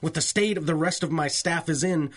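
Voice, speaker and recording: An actor playing a defensive, proper police commissioner with a formal, fussy manner in a 1930s style radio drama. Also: male voice, a little high, brisk, slightly rough. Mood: defensive, insistent.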